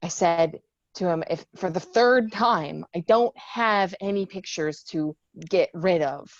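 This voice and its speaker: A woman's voice: on the low side, slow pace, no echo, lo-fi sound. A woman reading the part of a tough, guarded young female Marine lieutenant in a screenplay table read, with blunt, sardonic delivery. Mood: frustrated, disbelieving. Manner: blunt, swearing, defiant.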